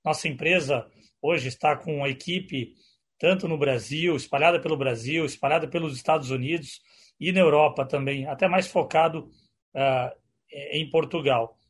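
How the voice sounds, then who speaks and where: A Brazilian man presenting informally on a video call as a company executive, speaking in a hesitant way with a husky voice. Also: a little high, normal speed.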